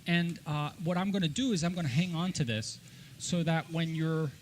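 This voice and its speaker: A middle-aged man co-hosting a casual podcast, telling stories in an animated way and given to laughing. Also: fairly high pitch, normal speed, slight background noise. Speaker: podcast co-host. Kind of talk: casual podcast chat and storytelling.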